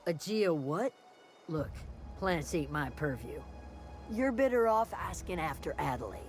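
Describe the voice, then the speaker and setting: Curt, folksy frontier guard. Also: female voice, sits low, lively intonation, measured pace, a little mellow. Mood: suspicious, curt. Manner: curt, folksy drawl, suspicious. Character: wary, gruff frontier camp guard. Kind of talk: video game character dialogue. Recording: music behind the voice.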